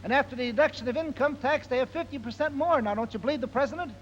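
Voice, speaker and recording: Very high-pitched, insistent, fast-talking man. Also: a bit bright. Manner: insistent, pressing. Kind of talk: argumentative public discussion. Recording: dull, noisy 1940s recording.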